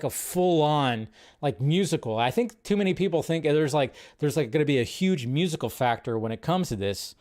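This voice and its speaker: A man hosting a live movie news stream, talking casually in a loose, rambling way with lots of fillers. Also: fairly high pitch.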